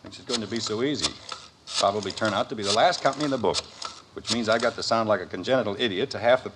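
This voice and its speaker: A man's voice: lowish voice, quick pace, noisy background, close to an everyday voice. An actor playing an exasperated middle-aged suburban father in a 1950s American radio sitcom, sputtering, hesitating and dryly sarcastic. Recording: vintage 1950s radio recording.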